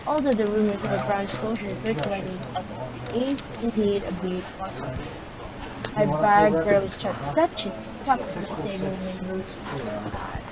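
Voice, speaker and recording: A woman's voice: medium pitch, moderate pace, a loud crowd, low-quality recording. A woman reading a visual novel's first-person story aloud, sometimes stumbling over words.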